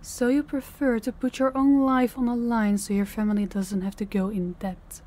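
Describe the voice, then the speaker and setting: A woman playing a stern, condescending female mafia boss in an intimate audio roleplay, giving measured orders directly to the listener. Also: on the high side, little pitch movement, mellow, close to an everyday voice. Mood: cold, condescending.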